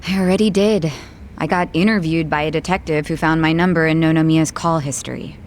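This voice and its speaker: An actress playing a blunt, plain-spoken young bar hostess in an English video game dub. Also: female voice, low voice, fairly even intonation, a little fast, a little hiss or hum, lightly acted. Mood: frank, uneasy.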